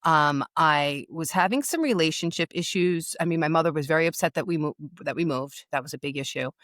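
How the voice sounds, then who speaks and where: A woman's voice: low voice, brisk, fairly bright tone, no room sound, studio quality. A middle-aged woman from New York with a New York accent, telling her own story candidly and emphatically as a podcast guest. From New York, USA. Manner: candid, emphatic, repeats words for stress. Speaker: podcast guest, loss and empowerment coach. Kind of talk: personal storytelling in a podcast interview.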